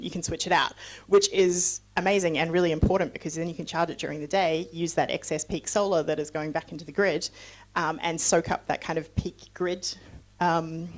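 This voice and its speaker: Lower-voiced woman from New Zealand. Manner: chatty and loose, with frequent fillers and asides. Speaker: guest speaker on sustainability. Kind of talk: conversational presentation with audience questions.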